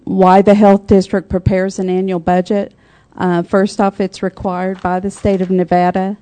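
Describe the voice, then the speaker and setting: A woman, a financial services manager, giving a formal budget presentation at a public board meeting, soft-spoken with frequent ums. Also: lowish voice.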